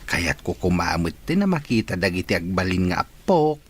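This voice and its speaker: A man acting a fond, gentle father with a husky voice in a radio drama. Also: low voice, very animated, normal speed, heavily acted. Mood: tender.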